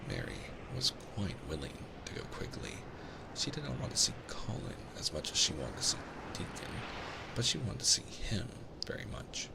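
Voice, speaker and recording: A man narrating a bedtime story in a gentle, soothing, soft-spoken ASMR style. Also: a bass voice, wildly swooping pitch, moderate pace, vocal fry, very noisy. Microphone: binaural microphone. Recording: constant loud ocean-wave ambience behind voice. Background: ocean waves.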